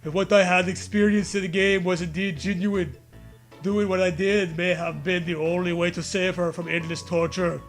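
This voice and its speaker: A man's voice: high-pitched, fairly even intonation, normal speed, faint music behind. A man reading a story aloud in a comedic way, with mocking, profane casual riffing.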